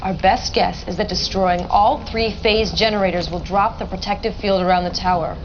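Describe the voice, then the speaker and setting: An actress playing an earnest, businesslike military intelligence officer giving a briefing in a video game. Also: female voice, mid-range voice, steady tone, moderate pace, lightly acted. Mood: focused, worried. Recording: dull lo-fi audio, faint noise.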